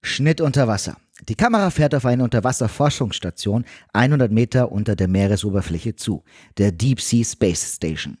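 A man's voice: on the low side, expressive, moderate pace, darkish tone, breathy edge, no echo, clean studio sound. A man narrating a scripted film recap in a wry, tongue-in-cheek manner.

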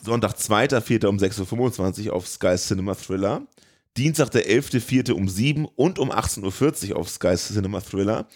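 Male podcast co-host, lower-register voice, colloquial and jokey, moves easily between banter and reading out short items. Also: expressive, normal speed, a little mellow. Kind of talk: casual banter, reading out news and TV listings.

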